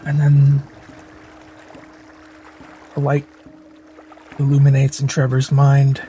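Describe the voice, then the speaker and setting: Slow, mellow, even-toned male roleplayer. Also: mid-range voice. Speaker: tabletop roleplay player. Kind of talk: improvised third-person character narration. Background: background music.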